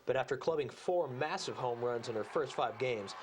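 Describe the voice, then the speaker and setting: A man's voice: medium pitch, moderate pace. A young man hosting a sports show, giving preview commentary and now and then stumbling over his phrasing. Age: young adult.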